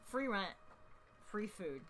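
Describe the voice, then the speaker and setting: A woman's voice: mid-range voice, big pitch swings, slow pace, a bit bright. A woman co-hosting a let's play with casual, wry, slangy joking commentary. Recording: clear background noise.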